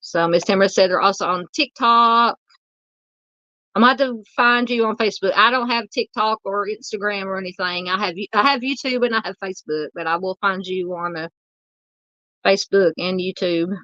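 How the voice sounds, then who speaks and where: A woman's voice: mid-range voice, normal speed, sharp tone, no room sound, lo-fi sound. A woman hosting a true crime livestream, chatting casually and reading viewer comments, her voice worn and tired from talking. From Southern United States. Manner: rambling, chatty, many fillers. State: losing her voice.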